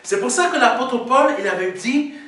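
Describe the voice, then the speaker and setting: A man's voice: very high voice, animated, moderate pace, a bit bright. A male pastor preaching a Sunday sermon in a church, teaching and explaining Greek words, often stopping to say "Amen". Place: church sanctuary. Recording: very echoey hall, mic far off.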